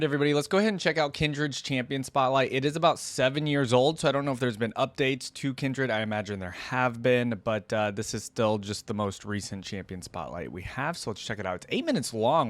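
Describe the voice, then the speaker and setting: A man hosting a reaction video, commenting casually and reading story passages aloud. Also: fairly low pitch. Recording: roomy echo, faint music behind.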